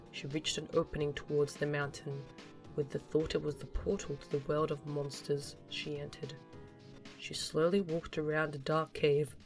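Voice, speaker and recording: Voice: mid-range voice, fairly bright tone. A narrator reading a scripted story for a fan audio drama.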